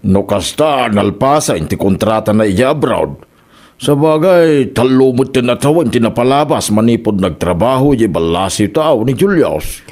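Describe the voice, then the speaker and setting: A man performs an old, doting Ilocano father in a comedy radio drama. He is plain-spoken, with a medium-pitched voice and an Ilocano Filipino accent. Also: lively intonation, moderate pace, a little mellow, natural voice. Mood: resigned, patient.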